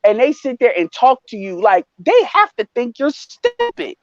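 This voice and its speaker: Man, high voice, heated emphatic panelist, swears, dull lo-fi call audio. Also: normal speed, dry recording. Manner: animated, emphatic, swearing, restarts. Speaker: live stream panelist. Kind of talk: heated casual discussion.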